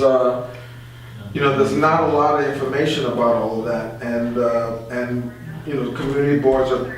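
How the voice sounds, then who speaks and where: A man speaking as a community advocate guest in an informal radio discussion, explaining and repeating phrases to stress his points. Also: medium pitch. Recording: very echoey room, distant mic, faint noise.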